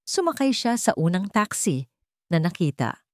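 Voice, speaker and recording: A Filipino woman reading a scripted romance story as narrator, with dramatic, deliberate phrasing. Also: on the low side, big pitch swings, a little mellow, dry recording, studio quality.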